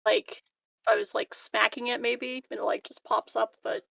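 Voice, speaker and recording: A woman chatting casually as a player in a tabletop roleplaying game, with some creak in her voice. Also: a little high, little pitch movement, moderate pace, pushed and bright.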